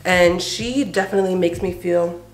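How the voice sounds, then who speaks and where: A woman's voice: fairly low pitch, normal speed, low-quality recording. A young woman in her twenties making a casual, chatty crafting vlog at home, enthusiastic and full of fillers. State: head cold, nasal. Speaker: crochet vlogger. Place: bedroom and living room at home.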